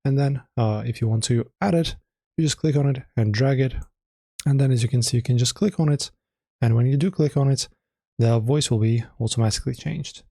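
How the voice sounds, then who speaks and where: A man narrating a casual software tutorial in an informal, slightly hesitant way, often correcting himself as he explains. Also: medium pitch, gravelly, breathy edge, no echo, clean studio sound. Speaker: tutorial host.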